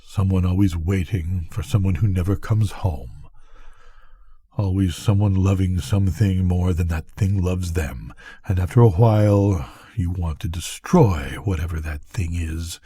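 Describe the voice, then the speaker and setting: A man's voice: low voice, fairly even intonation, a little slow, mellow, slightly rough, dry recording, pitched below the performer's own voice. A man voicing a weary, brooding lighthouse keeper in a storybook, musing in a subdued, wistful way. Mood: weary, bitter.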